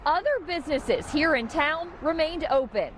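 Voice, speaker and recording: A female news reporter with a slightly high voice. She reads a scripted field report, with clear vehicle and ambient noise in the recording. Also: expressive, moderate pace.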